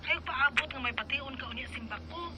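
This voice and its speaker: A man's voice: very high voice, fairly quick, pitched above the performer's own voice. A man putting on a fretful wife's voice. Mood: worried.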